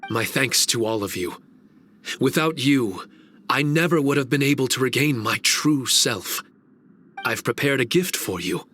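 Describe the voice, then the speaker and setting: A man's voice: medium pitch, lively intonation, unhurried, bright, forceful tone, slight background noise. A man playing an earnest, dutiful guardian spirit in a video game, speaking formally and courteously. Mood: grateful. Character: earnest, dutiful male guardian spirit of a mystic training domain. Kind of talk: video game cutscene voice acting.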